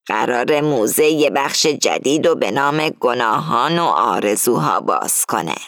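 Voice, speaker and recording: A woman reading as an older female gang leader who lays out a heist plan firmly and deliberately. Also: rumbling low, expressive, fairly bright tone, no echo, clean studio sound, pitched below the performer's own voice, exaggerated voice. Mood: scheming, instructing. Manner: firm, explaining slowly and deliberately.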